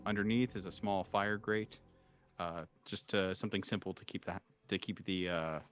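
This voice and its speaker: A man running a tabletop horror game, improvising his narration in halting, hesitant phrases as game master. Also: low voice, steady tone, a bit bright, dull audio. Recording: music behind the voice. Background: background music.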